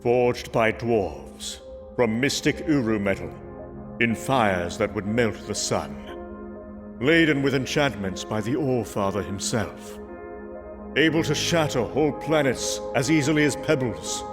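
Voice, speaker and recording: A man's voice: mid-range voice, expressive, measured pace, over music, lightly acted. An actor playing a proud, brash young male Norse god of thunder, grandiose and commanding, in a comic book audio drama. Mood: grand, brooding.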